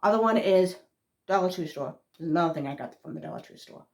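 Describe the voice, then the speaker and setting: A woman's voice: on the low side, animated, fast, gravelly, darkish tone. A woman with a thick Massachusetts accent, chatting casually in a rambling vlog and often trailing off and restarting her words. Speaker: spiritual vlogger.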